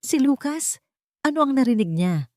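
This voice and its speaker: A woman's voice: sits high, very animated, moderate pace, a little mellow, no room sound, voice pushed up. Woman reading as a filipino dad in denial, questioning, insistent, audio drama, really dry sound. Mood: uneasy, disbelieving. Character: trusting, disbelieving husband and father.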